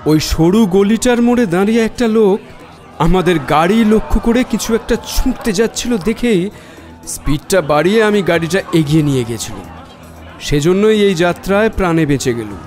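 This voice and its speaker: A shrewd private detective in a mystery radio play. His voice is notably high for a man, polite yet probing and decisive. Faint music runs underneath. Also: animated, normal speed, a little mellow, some chatter behind. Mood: urgent. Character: shrewd, courteous male private detective, in command. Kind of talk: Bengali audio detective drama acting.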